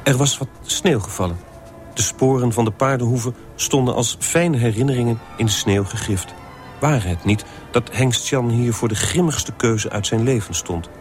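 A man's voice: medium pitch, normal speed, faint music behind, lightly acted. A man playing an earnest, stiffly polite story reader, formal and solemn, in an absurdist radio play. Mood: earnest reciting. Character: earnest, stiffly polite man reading short stories aloud.

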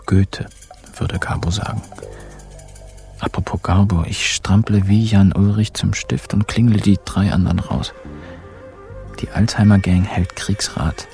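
An actor playing a wry, laconic pensioner who narrates a radio crime drama in the first person. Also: male voice, on the low side, fairly even intonation, unhurried, gravelly, long pauses, dark tone, faint music behind.

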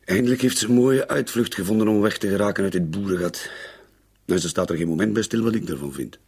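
A disgruntled Flemish father in middle age in a radio play. His voice is low and very rough, and he grumbles with dismissive sarcasm. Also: quick pace, a little mellow. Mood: resentful. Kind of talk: naturalistic radio drama acting.

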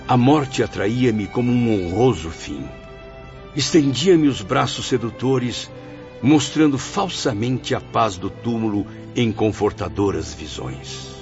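An actor with a Brazilian accent playing a proud, embittered blind writer's spirit in a radio soap, declaiming theatrically. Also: male voice, medium pitch, vocal fry, a little mellow. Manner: theatrical, declamatory, grandiose, with swelling and sinking emphasis. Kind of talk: radio soap opera acting. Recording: dull lo-fi audio, music behind.

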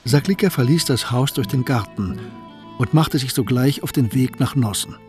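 Man narrating a radio play over soft music. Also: mid-range voice, moderate pace. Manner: even, storytelling.

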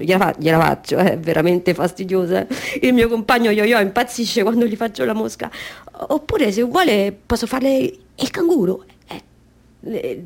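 A creaky-voiced, quirky woman clown. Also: medium pitch. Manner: halting, repeats phrases. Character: quirky, eager woman circus clown listing odd talents. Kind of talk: theatrical radio drama monologue.

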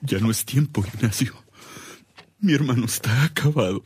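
A man's voice: medium pitch, normal speed, a little mellow, slight background noise, natural voice. A man acting a solemn, grieving priest in a radio drama, with a ceremonial delivery. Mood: solemn, resigned. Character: solemn grieving priest at his dying brother's bedside.

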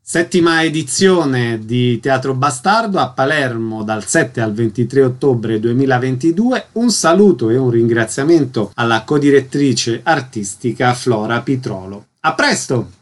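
A male radio interviewer with a voice of medium pitch. He delivers prepared announcements and brief questions in the manner of a presenter. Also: lively intonation, a little slow, few pauses.

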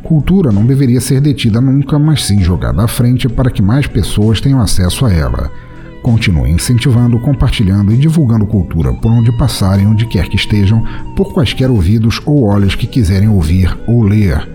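A man hosting a podcast, giving relaxed, chatty closing remarks that wander a little as he talks to his listeners. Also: fairly low pitch, fairly quick, soft background music. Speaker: podcast host.

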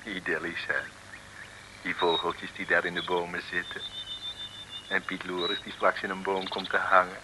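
Deep-ish guy, ironic old radio serial narration, noisy recording. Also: monotone, moderate pace, long pauses. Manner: droll, ironic. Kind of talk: wry scripted radio serial narration, 1960s.

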